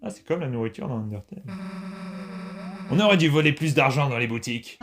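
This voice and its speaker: A man voicing a brash, tough teenage monster girl with a soft side in an amateur video game comic dub, gruff and offhand. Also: medium pitch, animated, a little fast, background noise, lightly acted.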